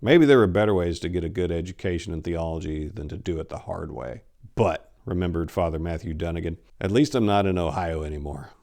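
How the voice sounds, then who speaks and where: A man in his forties reading a story aloud in a theatrical, deliberate, scripted narrating style. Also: low voice, gravelly, darkish tone. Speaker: host, author reading own short story. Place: indoors, book-lined room. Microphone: lapel mic.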